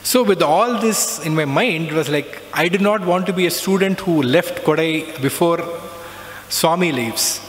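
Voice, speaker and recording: A middle-aged man tells a reflective personal story, often pausing with "uh" and "so". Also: on the high side. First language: not Hindi. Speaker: alumnus giving a talk. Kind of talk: personal spiritual talk. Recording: long reverb, faint background noise.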